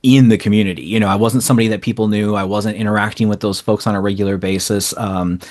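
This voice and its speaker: Low-pitched man talking casually. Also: fairly even intonation, quick pace, darkish tone. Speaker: interview guest, small indie publisher. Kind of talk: casual conversational interview answers.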